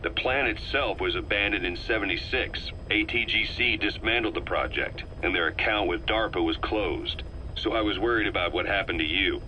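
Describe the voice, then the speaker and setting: An actor playing a shrewd, world-weary intelligence operative in a video game cutscene, speaking in a measured, knowing way. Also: male voice, low voice, sharp tone, slight background noise, rough recording. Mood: sober, confiding.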